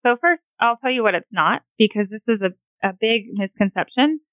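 A woman with a Montana accent, a guest homeopath, explaining in a steady way with a few fillers. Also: fairly high pitch, fairly quick, no echo. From Montana, USA. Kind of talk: explanatory interview answers.

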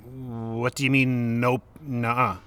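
A man's voice: mid-range voice, flat delivery, takes it slowly, fairly bright tone, smooth. An actor playing a defensive, exasperated man arguing earnestly in a naturalistic audio drama. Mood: insistent.

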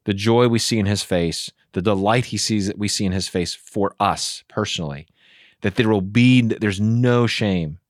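Earnest pastor with a low voice. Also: male voice, moderate pace, no echo, clean studio sound. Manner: earnest, animated, acts out inner voices. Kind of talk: casual theology discussion.

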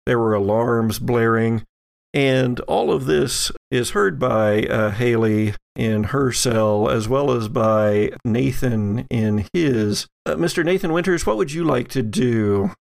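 A man acts as game master for a tabletop roleplaying session. His voice has plenty of fry and he speaks slowly. He lays out the story in measured, halting phrases. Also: medium pitch.

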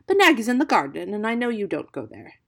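A bold, young male mongoose from a storybook, as a woman acts him out. He speaks briskly and with scorn, in a raised voice. He is insistent and sure of himself. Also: mid-range voice, big pitch swings, creaky, voice pushed up. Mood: scornful.

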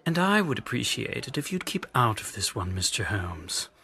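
A stiff Victorian policeman for an audio drama. His mid-pitched voice is rough and husky, and he speaks with wry, officious courtesy. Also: big pitch swings, moderate pace, pushed and bright, full character voice. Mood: stern.

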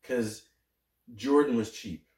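A man's voice: mid-range voice, takes it slowly, a little mellow, reverberant. A man, co-hosting a casual podcast, teasing and wisecracking. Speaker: podcast co-host. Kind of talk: casual chat.

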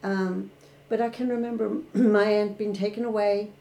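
Older Irish woman, candid reflective guest, warm tone, roomy sound. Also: medium pitch, fairly even intonation, moderate pace. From Ireland. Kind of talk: personal recovery story in a podcast interview.

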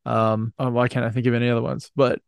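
A man's voice: mid-range voice, little pitch movement, fast, vocal fry, clean tone, no room sound. A man hosting a podcast in casual chat, rambling and joking, with a casual swear now and then. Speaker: podcast host.

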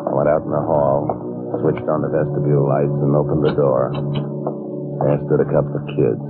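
An actor playing an anxious, guilty man telling his story in a vintage radio thriller, hesitant and halting with others. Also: rumbling low, little pitch movement. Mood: tense, haunted. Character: anxious guilty man recounting his crime, smoothly feigning grief. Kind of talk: 1940s radio thriller acting, first-person narration. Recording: tinny old radio recording, music behind.

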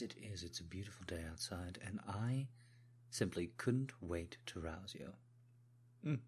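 A man's voice: low-pitched, darkish tone, slightly rough, heavily acted. A man voicing a devoted, obsequious manservant with a put-on British accent, speaking in a deferential, politely formal way in an intimate audio roleplay.

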